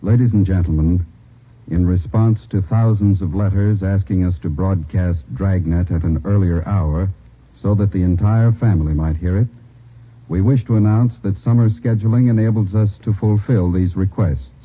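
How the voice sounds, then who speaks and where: A man's voice: low voice, moderate pace, slightly rough. An American man giving a formal scripted announcement in mid-century broadcast style. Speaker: radio announcer. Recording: thin, phone-like old radio broadcast.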